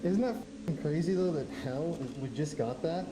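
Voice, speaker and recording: A man's voice: on the high side, normal speed, reverberant, poor audio. A man talking casually on location as the host of a paranormal investigation. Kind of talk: casual on-location ghost hunt talk. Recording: clear music over location video audio.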